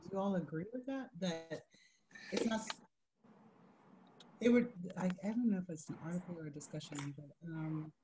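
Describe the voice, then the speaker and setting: A woman with a low, soft voice asks questions in an online discussion group. She speaks tentatively, searching for her words. Faint noise sits behind her over the video call. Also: moderate pace, long pauses, poor audio. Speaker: participant.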